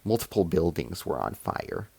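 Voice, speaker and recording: A man's voice: deep voice, big pitch swings, moderate pace, creaky, darkish tone, husky. A man reading a science fiction short story as scripted narration.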